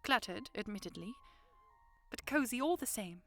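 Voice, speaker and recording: A woman acts out a young noblewoman with a high voice. She is spirited and curious, teasing and insistent but well-mannered, in an audiodrama with faint music behind her. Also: lively intonation, moderate pace, fairly bright tone. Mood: curious, warm. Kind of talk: audiodrama acting.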